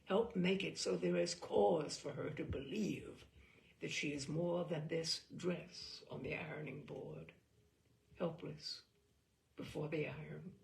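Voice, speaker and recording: Weary, regretful mother performed by a woman for literary storytelling: deliberate, intense delivery, unhurried, with heavy vocal fry. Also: low-pitched, steady tone, a little mellow, a little hiss or hum, pitched below the performer's own voice, exaggerated voice. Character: weary, regretful working mother confiding about her daughter. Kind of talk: dramatic first-person short story reading.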